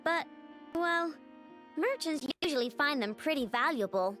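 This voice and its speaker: A little girl inventor, high and childlike. She is blunt and earnest, with lively swings in pitch, in video game style over faint music. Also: a little slow. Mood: earnest, worried.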